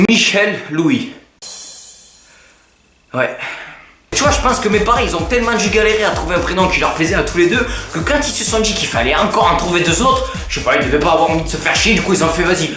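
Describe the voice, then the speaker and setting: A teenage boy with a Southern French accent, rattling off jokes about himself in a bright, high voice. The room is echoey, with faint music playing underneath. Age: teens. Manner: joking, self-mocking, lively banter. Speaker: YouTuber. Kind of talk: casual vlog to camera.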